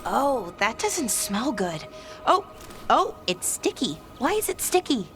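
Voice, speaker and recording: An actress playing an earnest, slightly hesitant and chatty young elf scholar in a fantasy game. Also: female voice, a little high, big pitch swings, slow, a bit bright, soft background music, full character voice. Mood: squeamish.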